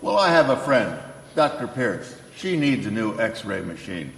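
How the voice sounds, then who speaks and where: A man playing a kindly, jolly old Santa Claus figure in an old-time radio play, gentle and avuncular. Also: lowish voice, big pitch swings, measured pace, vocal fry, heavily acted. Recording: echoey hall, faint noise.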